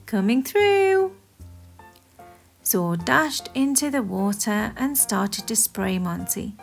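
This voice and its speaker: A woman narrates a children's story with a lively, swinging intonation and an unhurried pace. Her read-aloud is expressive and deliberate, with music playing underneath. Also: mid-range voice, gravelly.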